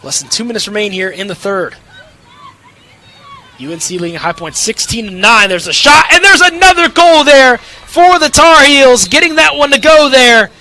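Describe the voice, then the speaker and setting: A man's voice: very high-pitched, animated, normal speed, bright, forceful tone, a faint crowd, a little hiss or hum. A young man calling play-by-play sports commentary as an announcer. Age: young adult.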